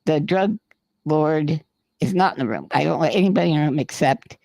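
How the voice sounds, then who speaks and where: A man's voice: fairly high pitch, animated, brisk, gravelly, a little mellow, no room sound. A man co-hosting a podcast, telling a long rambling anecdote in casual chat and swearing freely. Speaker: podcast co-host. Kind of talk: casual storytelling.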